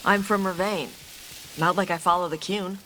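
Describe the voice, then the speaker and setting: A woman's voice: lowish voice, steady tone, normal speed, pushed and bright. Tough dragon hunter lady in a video game, terse and blunt, lots of game noise behind. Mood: blunt, guarded. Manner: terse, blunt, matter-of-fact. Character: blunt, tough Qunari dragon hunter woman from a seafaring land. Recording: game sound effects over voice.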